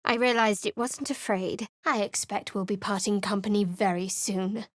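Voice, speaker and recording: A woman's voice: mid-range voice, steady tone, normal speed, no echo, close to an everyday voice. An actress playing a guarded, sardonic young woman cleric in a video game, speaking dryly and wryly. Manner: dry, wry and guarded. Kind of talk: video game character voice lines. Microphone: close studio mic.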